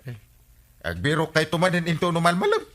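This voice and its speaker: An actor with an Ilocano accent playing a breezy village official who reassures and orders people around in a radio comedy. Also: male voice, on the high side, wildly swooping pitch, fairly quick, a bit bright. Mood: brisk.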